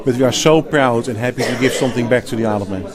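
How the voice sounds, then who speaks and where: Dutch-accented executive, mid-pitched, emphatic interview answers, recorded amid a noisy crowd. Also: male voice, very fast. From Netherlands. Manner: animated, emphatic, restarts often, laughs easily. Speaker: healthcare company executive and clinician.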